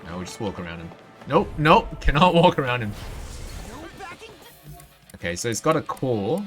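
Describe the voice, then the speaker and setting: A man's voice: medium pitch, big pitch swings, moderate pace, a bit bright. A man doing casual, playful live video game commentary, talking to himself and his viewers. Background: video game music.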